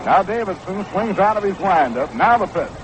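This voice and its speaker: A high-pitched American man gives live baseball play-by-play. The sound is an old 1960s AM radio broadcast from a stadium booth, with clear noise behind him. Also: lively intonation, moderate pace. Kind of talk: live radio sports commentary.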